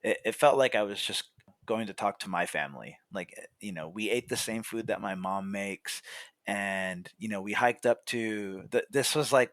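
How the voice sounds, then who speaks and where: A young man, a podcast guest, telling a story in a relaxed way, often filling pauses with "you know" and "like". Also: fairly low pitch, dry recording. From California, USA. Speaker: podcast guest, coffee roaster. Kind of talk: casual storytelling.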